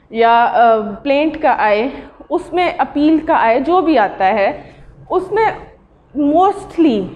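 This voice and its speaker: Female law lecturer, Pakistani accent, high voice, halting delivery with English terms mixed in, dull lo-fi recording. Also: darkish tone. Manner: halting, mixes Urdu and English legal terms. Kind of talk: informal lecture on evidence law. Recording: dull lo-fi audio.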